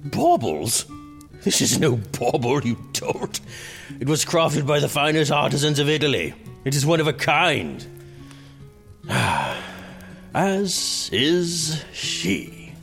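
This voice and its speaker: A man's voice: fairly high pitch, big pitch swings, slow pace, vocal fry, a bit bright, faint music behind, heavily acted. An actor playing a pompous, drunken, self-indulgent prince acting as king in a theatrical, haughty medieval audio drama. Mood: indignant.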